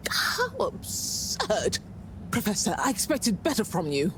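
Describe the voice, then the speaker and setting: A woman's voice: mid-range voice, wildly swooping pitch, takes it slowly, slight background noise. An actress playing an imperious middle-aged female ship CEO in a video game, haughty, smug and dry. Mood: indignant. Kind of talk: scripted video game dialogue.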